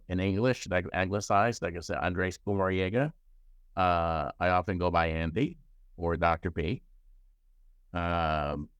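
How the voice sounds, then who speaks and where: An older man from Cuba, a child psychiatrist and professor, talking about himself in a halting, casual interview full of fillers and restarts. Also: low-pitched, a bit bright, smooth, no echo.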